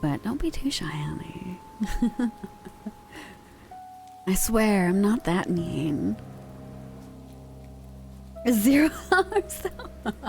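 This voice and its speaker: Slow, laid-back young woman streamer. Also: medium pitch, big pitch swings, creaky, a little mellow, faint music behind. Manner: laid-back and slangy, with pet names for chatters. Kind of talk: casual live-stream chat with viewers.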